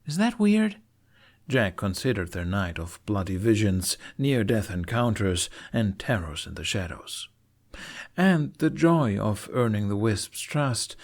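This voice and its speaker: A man's voice: on the low side, darkish tone. A man narrating a scripted fiction story expressively, as an audiobook reader. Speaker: narrator of a fan fiction podfic.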